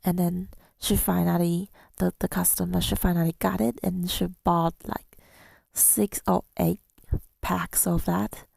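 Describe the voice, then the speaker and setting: A woman telling a story in soft-spoken ASMR style, gentle and unhurried, slipping toward a breathy whisper, close to the microphone. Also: lowish voice, level tone, no room sound. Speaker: ASMR audio creator.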